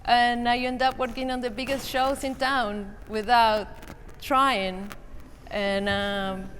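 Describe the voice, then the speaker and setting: A woman's voice: a little high, level tone, slow pace, a bit bright. A woman from Argentina with an Argentine accent, speaking as a panelist in casual talk, with many hesitant fillers. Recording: reverberant convention hall with faint noise.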